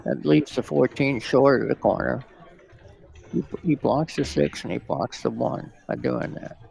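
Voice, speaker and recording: Man, gravelly, croaky, worn-out soft voice, pool commentary, breaks down shots. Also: medium pitch, measured pace, low-quality recording. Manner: relaxed, analytical shot talk. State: voice tired and going. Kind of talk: casual match commentary.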